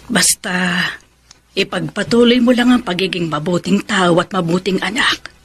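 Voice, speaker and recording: An actress with a Filipino accent playing a pious older mother, measured and gently advising, in radio drama style. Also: lowish voice, steady tone, slightly rough. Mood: solemn, reassuring.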